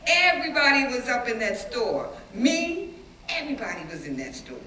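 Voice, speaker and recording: A woman performing a dramatic stage reading as a defiant, plain-spoken schoolteacher recalling riots, with animated, emphatic storytelling and dramatic pauses. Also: on the high side, distant mic. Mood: animated, urgent. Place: echoey indoor room, live reading before an audience. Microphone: distant microphone. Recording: roomy, noisy hall recording from afar.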